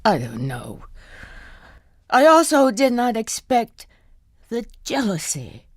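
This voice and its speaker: A slow-spoken, exasperated older wife. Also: female voice, a little high, huge pitch swings, no room sound, clean studio sound, exaggerated voice. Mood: weary, ashamed. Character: exasperated, ashamed older wife of a zealous husband. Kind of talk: naturalistic audio drama acting.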